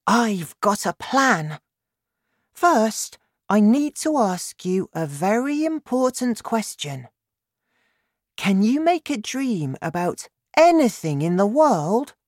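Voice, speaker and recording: A woman voicing an excitable, determined little girl in a children's story, speaking in an eager, dramatic way. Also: medium pitch, slow, dry recording, clean studio sound, voice pushed up. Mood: eager, curious.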